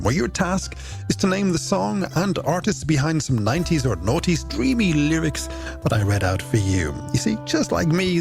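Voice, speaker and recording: A man's voice: on the high side, creaky. A man presenting a late-night music radio show in playful, theatrical, florid patter from a studio. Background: music bed under the voice.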